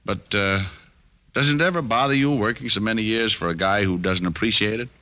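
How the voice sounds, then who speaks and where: An American actor playing a tough, wry, stubborn private detective in a 1950s-style radio drama, with needling delivery. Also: male voice, on the low side, a little fast, sharp tone. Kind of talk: 1950s radio detective acting with first-person narration. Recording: old radio recording with hiss, clicks.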